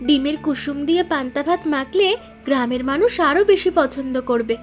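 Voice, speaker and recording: A woman's voice: sits high, fairly quick, soft background music, close to an everyday voice. A woman voicing a dutiful, eager village daughter in a cartoon story, earnest and obliging.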